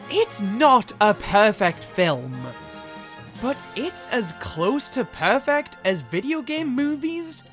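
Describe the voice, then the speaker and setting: Woman, video essayist, high voice, very lively intonation, emphatic dramatic delivery, scripted review commentary.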